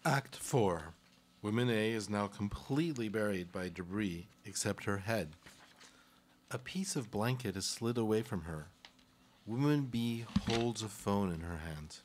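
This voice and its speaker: Low-voiced male stage-direction narrator. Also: animated, unhurried, a little hiss or hum. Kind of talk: scripted narration for a radio play.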